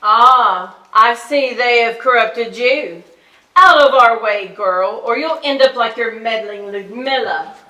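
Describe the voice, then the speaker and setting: A woman's voice: on the high side, takes it slowly, pushed and bright. A woman playing a scheming, imperious old royal grandmother in a staged musical reading. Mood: menacing. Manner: imperious, threatening. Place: indoor stage at an arts venue. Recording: echoey stage, distant mic.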